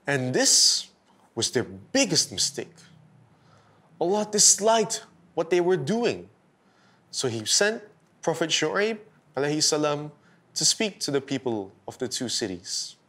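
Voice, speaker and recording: A man hosting and narrating a scripted bedtime story for children in a deliberate, expressive storytelling style. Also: fairly high pitch. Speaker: storyteller host.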